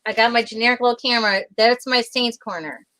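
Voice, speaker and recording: A woman with a bright, sharp voice chats as a guest over a video call. Her talk is informal and halting, with a self-deprecating streak. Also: fairly high pitch. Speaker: guest performer on the show.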